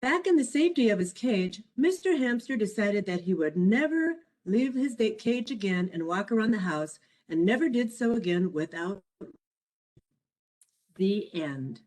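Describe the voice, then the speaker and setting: Expressive woman reading a children's storybook. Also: mid-range voice, poor audio. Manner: expressive, measured read-aloud delivery. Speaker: author reading own children's picture book. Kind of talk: scripted storybook narration for children.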